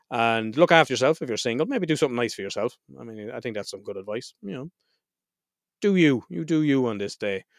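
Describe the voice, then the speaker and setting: A man with a head cold talks straight into a close, dry mic, his voice stuffed up and a little nasal. His tone is clear and smooth under the congestion, pitched in the middle, and he speaks bluntly, swearing now and then as he chats to his listeners. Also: dry recording. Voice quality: slightly nasal. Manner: frank, swears freely. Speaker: podcast host. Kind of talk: casual solo podcast intro.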